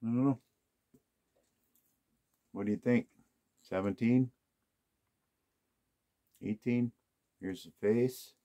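A man's voice: mid-range voice, fairly even intonation, slow, long pauses, mellow. A man casually talking through an unboxing of used golf clubs, musing aloud to himself as he inspects each one. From United States. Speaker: golf club unboxing host.

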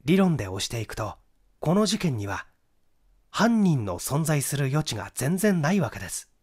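A man voicing a methodical, assured young amateur detective in an audiobook, with deliberate, emphatic explanation. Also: on the high side, sing-song, a little mellow, breathy edge. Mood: deliberate reasoning.

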